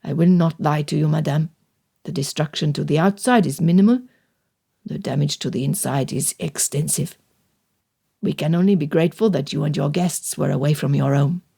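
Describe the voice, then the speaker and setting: A burly older man of the village, blunt and solemn, put on by a woman reading a storybook. His voice sits well below the narration, heavy and deliberate, with no flourish. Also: low voice, creaky, warm tone, husky, voice pushed down, exaggerated voice. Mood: somber.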